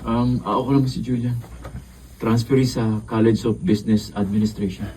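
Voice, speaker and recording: An actor playing a decent, timid young college student in a radio drama, hesitant and earnest. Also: male voice, mid-range voice, steady tone, moderate pace, dark tone, recorded from a distance, slight background noise, lightly acted, low-quality recording. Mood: shy, grateful.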